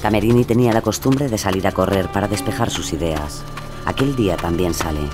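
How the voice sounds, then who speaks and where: A man's voice: deep voice, fairly quick, over music. A man narrating a true-crime story from a script as its storyteller.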